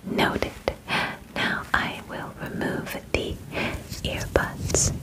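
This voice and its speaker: A woman in her twenties playing a calm, clinical alien examiner in an ASMR roleplay, whispering formally and reassuringly close to the mic. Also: drawn-out words. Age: 20s. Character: calm, methodical female alien examiner inspecting a human. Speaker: ASMR performer. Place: bedroom studio, indoors. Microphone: close ASMR microphone.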